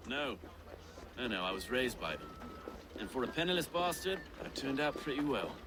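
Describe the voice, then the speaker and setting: A man's voice: mid-range voice, animated, moderate pace, noisy background, lightly acted. A male actor with a British accent playing an affable, burly medieval London reeve in a video game, courteous and wry. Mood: fond, reflective. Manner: courteous, wry, old-fashioned turns of phrase. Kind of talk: video game dramatic dialogue.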